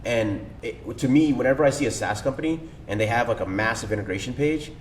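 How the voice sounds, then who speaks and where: A man talking casually as a podcast guest, enthusiastic and slangy, with lots of fillers. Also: fast talker. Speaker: cold email agency owner, guest. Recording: echoey room, distant mic, faint rumble.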